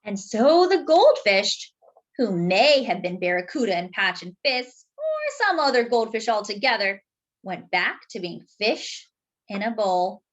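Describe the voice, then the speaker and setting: A woman reading a picture book aloud to children, expressive and deliberate, dropping in chatty asides. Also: mid-range voice, lo-fi sound. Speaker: children's story reader.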